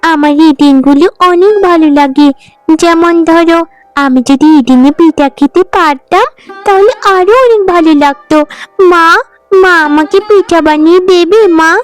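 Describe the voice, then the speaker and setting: A woman's voice: very high voice, moderate pace, soft background music. A woman playing a poor maid's young daughter in a cartoon, girlish and earnest in manner. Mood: pleading. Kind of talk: animated Bengali folk-tale cartoon acting.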